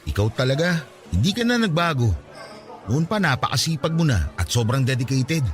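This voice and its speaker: An actor playing Raj, a caring young man giving his childhood friend advice, in a radio drama with soft music underneath. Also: medium pitch, sing-song, normal speed, close to an everyday voice.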